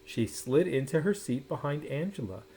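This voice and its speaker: A man reading a children's storybook aloud in an expressive, dramatic way, drawing out words for effect. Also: fairly high pitch, a little hiss or hum. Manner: animated, dramatic pauses and drawn-out words. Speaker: storybook reader. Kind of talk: expressive children's picture book read-aloud.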